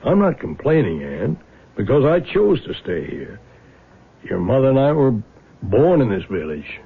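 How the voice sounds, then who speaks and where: An actor in a 1970s radio drama playing a frank, folksy older father and small-town prosecutor with a gravelly voice. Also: mid-range voice, big pitch swings, measured pace, narrow, tinny audio, heavily acted. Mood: reflective. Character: plain-spoken small-town prosecutor, fond protective old father. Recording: band-limited vintage radio broadcast.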